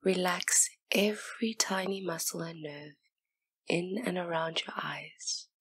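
Slow, breathy, low-voiced woman hypnotist. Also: dry recording. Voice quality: soft, breathy, often near whisper. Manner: soothing, gentle, unhurried instruction. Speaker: hypnotist and session guide. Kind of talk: guided hypnosis meditation.

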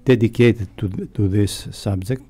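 A middle-aged man with a Greek accent, answering interview questions in a halting, hesitant way. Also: fairly low pitch, warm tone, slightly rough. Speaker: radio station staff member, interviewee. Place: small foam-padded radio studio. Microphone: close studio mic on boom arm, headphones.

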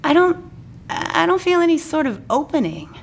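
A woman voicing a naive, eager female fashion doll in a comic story, breathy and tentative. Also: extremely high pitch, big pitch swings, moderate pace, voice pushed up, heavily acted. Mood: worried.

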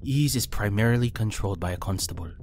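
A man acting a low-voiced, pragmatic ninja. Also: fast, lightly acted. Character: calm, pragmatic male ninja companion. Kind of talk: audio drama acting.

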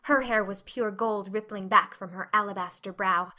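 Woman as a bright, chattering, dreamy young girl. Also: medium pitch, fairly even intonation, moderate pace, lightly acted. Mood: tragic, resigned. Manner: chatty, effusive, earnest. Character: talkative, dreamy, dramatic eleven-year-old orphan girl. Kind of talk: dramatic audiobook reading.

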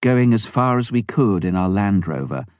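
Low, mellow man reading a memoir. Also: animated, moderate pace, no room sound, clean studio sound. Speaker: audiobook reader. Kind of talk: scripted memoir narration.

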